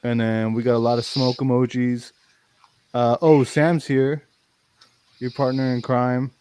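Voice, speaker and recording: A man's voice: mid-range voice, little pitch movement, measured pace, a little mellow, smooth. A man hosting a sneaker livestream, chatting casually, swearing freely, and reading viewer comments aloud. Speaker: livestream host.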